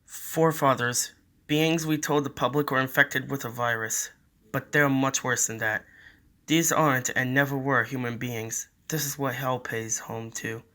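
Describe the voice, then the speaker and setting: Man acting cocky mid-pitched demon hunter. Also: fairly even intonation, unhurried, pushed and bright. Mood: grim. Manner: wry, swaggering. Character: cocky centuries-old male demon fighter who looks twenty-one. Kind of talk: amateur audio drama acting.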